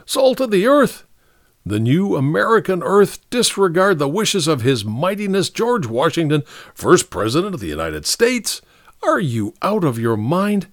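A man voicing an eager, stubborn gentleman detective in a story, emphatic and excitable in manner. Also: fairly high pitch, moderate pace, dry recording, clean studio sound, pitched above the performer's own voice. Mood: indignant.

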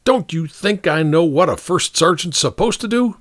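A man's voice: a little high, very animated, a little slow, no echo, clean studio sound, pitched above the performer's own voice. A man voicing a strict, short-tempered, proud American army captain in an audiobook, giving clipped orders. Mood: stern, irritated. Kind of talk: audiobook character dialogue.

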